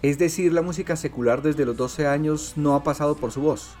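A man's voice: a little high, a little fast, a little mellow, faint music behind. A man with a Colombian accent interviewing a guest on radio, asking long questions and correcting himself mid-sentence. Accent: Colombian Spanish.

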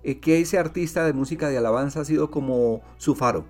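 A man's voice: a little high, fairly quick, soft background music. A man with a Colombian accent interviewing a guest on radio, asking long questions and correcting himself mid-sentence. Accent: Colombian Spanish.

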